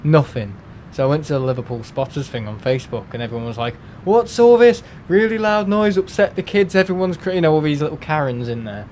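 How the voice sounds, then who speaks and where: A man's voice: fairly high pitch, animated, brisk, hardly any pauses, a little mellow, slight background noise. Chatty man with a Merseyside accent. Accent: Merseyside English. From England. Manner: rambling and chatty, many fillers and restarts. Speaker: livestream host. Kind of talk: casual chat with live viewers.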